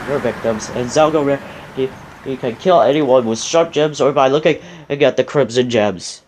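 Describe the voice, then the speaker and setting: A man playing a solemn government leader, giving a scripted emergency address in an earnest, halting amateur read. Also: mid-range voice, slight background noise, close to an everyday voice.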